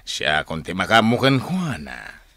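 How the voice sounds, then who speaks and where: A man playing a menacing general in a radio drama, speaking in a slow, deliberate, threatening way. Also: deep voice, very animated, bright, forceful tone, no room sound, studio quality, exaggerated voice. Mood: conspiratorial, grave. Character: menacing male general, henchman of a crime boss.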